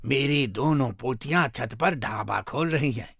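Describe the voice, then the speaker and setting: A man voicing an old grandfather landlord in a moral story, firm, measured and plain-spoken. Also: medium pitch, vocal fry, fairly bright tone, husky, no room sound, studio quality, full character voice. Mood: firm, agreeable.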